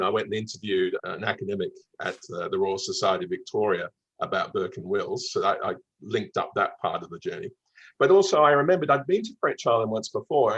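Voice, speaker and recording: An Australian man with a mild Melbourne accent talks as a travel writer being interviewed. His voice is fairly low and noticeably husky, and he tells his stories in a conversational way. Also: fairly bright tone, highs cut off.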